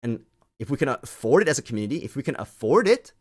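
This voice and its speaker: A man's voice: mid-range voice, big pitch swings, fast talker, a little mellow. A man ranting off the cuff to an online audience as a community host, scolding and emphatic, often repeating phrases to drive them home.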